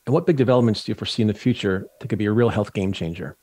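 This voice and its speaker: A male host leads an interview, asking his guest questions in a friendly, conversational manner. His voice is a touch lower than most men's. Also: very fast, a little mellow, slightly rough, no room sound. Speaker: podcast host.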